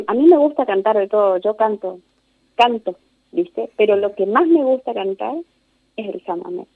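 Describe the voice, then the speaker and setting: A fifty-year-old woman with an Argentine Spanish accent, answering interview questions reflectively in measured, conversational phrases. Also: mid-range voice, lively intonation, dry recording. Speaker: interview guest, singer and singing teacher. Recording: narrow tinny phone-like line.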